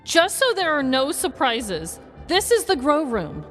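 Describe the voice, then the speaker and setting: A man's voice: very high voice, very animated, unhurried, bright, forceful tone, soft background music, pitched above the performer's own voice, heavily acted. A man voicing an earnest, flustered suburban mother, prim and pleading, in a comedic dramatized story. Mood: anxious, compliant.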